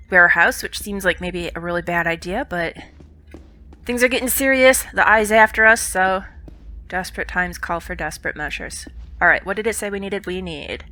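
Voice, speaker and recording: A woman's voice: mid-range voice, pushed and bright. A woman hosting a let's play, commenting casually and curiously, congested from a cold. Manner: chatty, curious.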